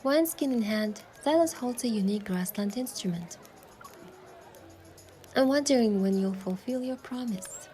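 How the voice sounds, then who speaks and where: A woman voicing a playful, tender young heroine who narrates her own romance in a game with soft, lyrical storytelling. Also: fairly high pitch, animated, moderate pace, natural voice. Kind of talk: scripted first-person narration and dialogue in romance game. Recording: music behind the voice.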